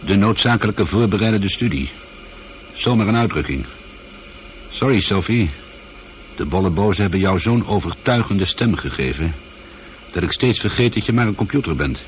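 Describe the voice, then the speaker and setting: A man's voice: fairly low pitch, expressive, moderate pace, pauses often, lightly acted. An actor playing a lone male astronaut in a radio drama, wry and musing, slightly uneasy. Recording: dull, slightly noisy old radio recording.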